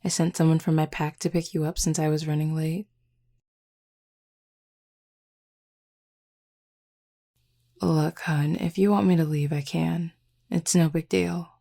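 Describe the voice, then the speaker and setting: A woman playing a flirty, teasing, confident werewolf pack member in an intimate audio roleplay, speaking unhurriedly with a husky, gravelly voice. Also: low-pitched, fairly even intonation, moderate pace, warm tone. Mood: teasing, cool.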